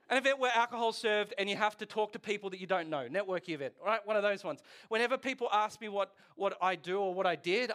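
A man's voice: high voice, a bit bright. A man in his forties preaching a casual, joking sermon, often asking the congregation questions. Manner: chatty, jokey, asks the audience questions. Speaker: pastor. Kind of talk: conversational sermon.

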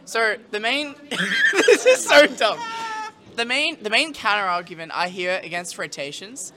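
Brief: high, bright, loud male co-host pushing his argument. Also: very animated, measured pace. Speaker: podcast co-host. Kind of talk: casual debate.

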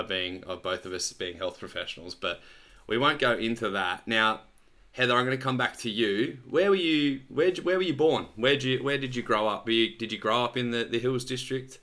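A man in his thirties hosts a chatty podcast interview over a video call. His voice is bright and forceful, sitting a little low. He talks with excited, rambling energy and keeps restarting his sentences. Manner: enthusiastic, rambling with frequent restarts. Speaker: podcast host. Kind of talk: friendly casual interview chat.

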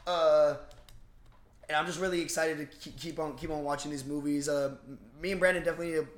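A young man co-hosting a podcast, chatting casually and excitably in slangy speech. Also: fairly high pitch, fast. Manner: slangy, excitable, says bro and dude often. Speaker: podcast co-host. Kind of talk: casual chat about geek movies. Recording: roomy, echoey sound.

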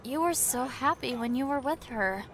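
A woman playing a concerned, gently prying friend in an audio drama. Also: sits high, animated, moderate pace, slight background noise.

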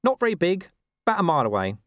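A man plays a scruffy talking dog for an audiobook, pitched up high. He is blunt but wary, with informal, hesitant phrasing and lively swings of pitch. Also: rapid-fire, no room sound, studio quality, full character voice. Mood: grumbling, matter-of-fact. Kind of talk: audiobook character voice.

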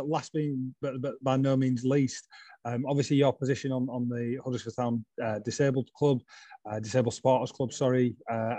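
A man's voice: mid-range voice, warm tone, dry recording. A man hosting a podcast discussion, introducing guests and often stumbling and repeating words. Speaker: podcast host.

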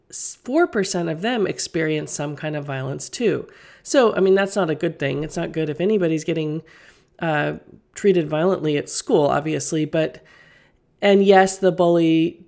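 A woman's voice: fairly low pitch, darkish tone. A middle-aged woman hosting a podcast as a teacher, getting over a cold, explaining things earnestly with fillers and restarts. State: recovering from a cold. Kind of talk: solo podcast talk.

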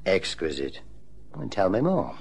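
An actor playing a suave private investigator in an old-time radio drama, measured and polite. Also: male voice, low voice, wildly swooping pitch, gravelly, breathy edge, heavily acted. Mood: polite, intrigued. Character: suave male private investigator telling his case.

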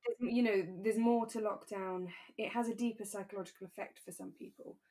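A woman co-hosting a relaxed conversational podcast, talking in a candid, reflective and careful way. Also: medium pitch, a little fast, rough recording. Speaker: podcast co-host.

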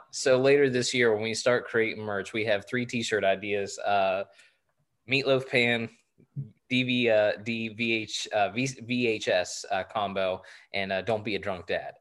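Twenties guy cohosting a sports pod, kinda low voice, jokey, lots of ums and uhs. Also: steady tone, unhurried, a bit bright. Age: 20s. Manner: joking, rambling with many fillers. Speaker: podcast co-host. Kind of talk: casual sports podcast chat.

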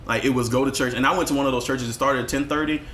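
Man, musician guest, candid storytelling, reflective, expressive, casual swearing, roomy echo, faint background noise. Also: medium pitch, very fast. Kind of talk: candid podcast conversation.

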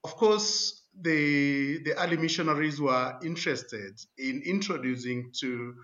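A man's voice: a little high, a little slow, a bit bright. A man on an academic panel, agreeing with a colleague and thinking aloud. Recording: dull lo-fi audio.

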